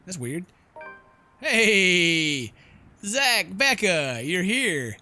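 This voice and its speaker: A man doing excitable, jokey let's play game commentary, exclaiming and mimicking the characters. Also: sits high, very slow, soft background music. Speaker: gaming YouTuber, let's play host.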